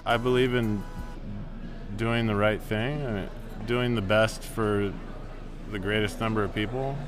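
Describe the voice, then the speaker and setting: A man's voice: fairly low pitch, fairly even intonation, measured pace. A man speaking casually in an interview as a business owner. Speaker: brewery owner. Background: music.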